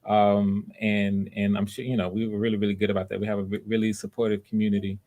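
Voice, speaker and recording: A man's voice: lowish voice, completely flat delivery, quick pace, mellow, highs cut off. A man hosting live auditions over a video call, talking casually and encouragingly, often repeating and rephrasing himself. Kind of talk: casual unscripted hosting talk.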